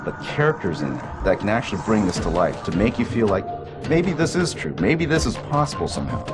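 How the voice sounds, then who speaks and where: A man's voice: on the low side, sing-song, fairly quick. A man giving a promotional interview as a game developer. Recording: loud music under interview.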